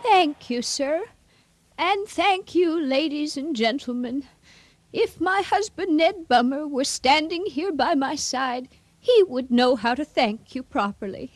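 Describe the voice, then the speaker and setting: A woman's voice: sits high, expressive, no echo. A woman in a radio play acting a sweet, humble little old lady, genteel and modest. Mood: humble, wistful. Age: older. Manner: genteel, modest, halting speechmaking.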